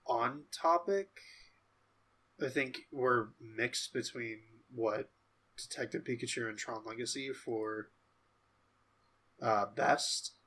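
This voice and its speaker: A man's voice: medium pitch, slow, long pauses, pushed and bright, slightly rough. A man co-hosting a pop culture podcast, talking casually in an informal discussion. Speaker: podcast co-host.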